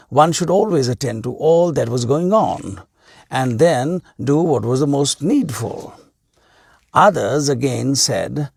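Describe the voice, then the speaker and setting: Male storyteller. Raspy, slow delivery with deliberate pauses, reading a scripted tale aloud. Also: mid-range voice, darkish tone, dry recording, clean studio sound. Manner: deliberate, with pauses between short phrases.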